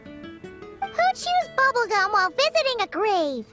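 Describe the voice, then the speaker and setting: A woman voicing a tiny, childlike fairy companion in a video game, squeaky, excitable and cheeky. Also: unhurried, music in the background, heavily acted. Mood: curious, cheeky. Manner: exclaiming, childlike.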